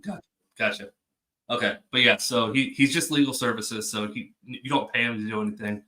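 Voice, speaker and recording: A man's voice: mid-range voice, brisk, sharp tone. A man hosting a stream, explaining legal points in a laid-back, casual way. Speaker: stream host. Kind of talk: casual chat.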